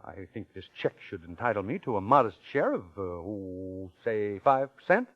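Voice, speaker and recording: An actor playing a smooth con man posing as a professor, with ornate, courtly diction in old-time radio style. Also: fairly low pitch, sing-song, normal speed. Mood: sly, suave. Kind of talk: old-time radio acting with first-person narration. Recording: muffled old radio recording, faint music.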